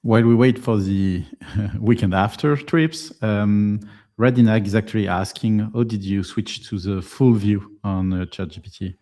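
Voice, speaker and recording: A man co-hosting a live demo, relaxed as he reads out an audience question. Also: fairly low pitch, measured pace. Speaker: co-host reading audience questions.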